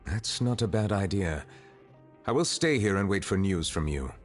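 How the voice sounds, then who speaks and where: A dignified judge in a game, with a low voice and faint music behind. He speaks formally and gravely, measuring each phrase. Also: lively intonation, normal speed. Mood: grave, composed. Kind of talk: video game voice acting.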